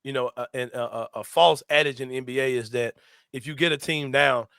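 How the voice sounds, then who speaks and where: Bright-voiced sports host. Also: male voice, medium pitch, a little fast, vocal fry, dry recording, studio quality. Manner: animated, slangy, laughs a lot. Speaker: sports talk show host. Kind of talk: casual sports chat.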